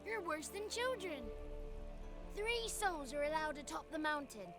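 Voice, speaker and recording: High, cheeky little godling boy. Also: lively intonation, a little slow, music in the background. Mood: exasperated. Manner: folksy, chatty, wry. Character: small childlike male godling, cheeky, chatty, folksy. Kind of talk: video game character dialogue.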